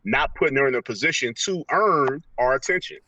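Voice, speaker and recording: A man's voice: mid-range voice, lively intonation, brisk, muffled recording, a crowd in the background. A man giving casual motivational talk as an interview guest, slangy and emphatic, often repeating phrases for effect. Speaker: guest, motivational speaker and author. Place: in a car during a remote call. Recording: slightly distant mic.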